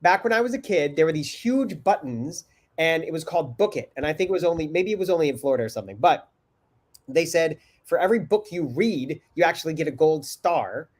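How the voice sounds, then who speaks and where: A man's voice: a little high, a little fast. Upbeat stuffy-sounding male host. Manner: upbeat, energetic, many fillers. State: congested, a little stuffy. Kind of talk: casual live video chat. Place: home room indoors. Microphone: video call webcam.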